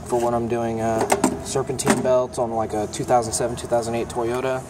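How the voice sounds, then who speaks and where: A young man of middle pitch, chatting easily about his gear, with frequent ums and uhs. He films on a handheld phone inside a repair shop, and a steady hum of air conditioning and passing vehicles sits clearly behind him. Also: a little fast. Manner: relaxed, plainspoken, many ums and uhs. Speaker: mechanic giving a toolbox tour. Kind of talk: casual show-and-tell vlog. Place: auto repair shop, indoors. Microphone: phone held in hand, filming first-person.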